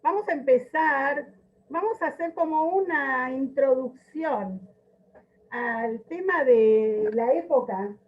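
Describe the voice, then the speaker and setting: A woman's voice: high voice, slow pace. A woman giving an informal history lecture with storytelling, speaking emphatically and repeating words for weight, over a video call. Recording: dull, lo-fi video call audio.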